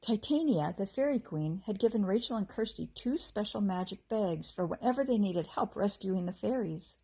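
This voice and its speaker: A woman reading a storybook aloud as a teacher would to children, with expressive delivery and character voices. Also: medium pitch, normal speed, husky, low-quality recording.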